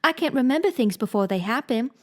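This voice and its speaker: A bright, polite little girl of seven, as a woman reads her, curious and earnest. Her high voice rises and falls lively. She sounds like a storybook reading. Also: quick pace, lightly acted. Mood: puzzled, polite.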